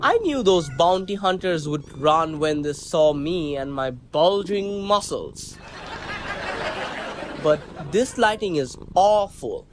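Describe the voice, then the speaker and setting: A man's voice: a little high, lively intonation, slow, faint music behind. A man playing a vain, bumbling space captain. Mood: melodramatic. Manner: self-important, melodramatic. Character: vain, bumbling male starship captain, fearless leader.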